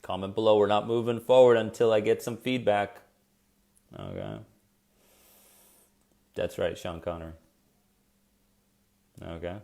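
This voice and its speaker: A man's voice: lowish voice, animated, measured pace, gravelly, long pauses, a little mellow. A man hosting a live coaching stream on anxiety, chatty and encouraging, with a soothing voice, talking straight to his viewers and reacting to their comments.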